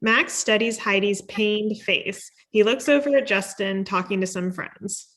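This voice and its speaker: A woman's voice: medium pitch, steady tone, unhurried, fairly bright tone. Woman reading screenplay stage directions. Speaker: stage directions reader at a table read. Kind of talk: scripted narration.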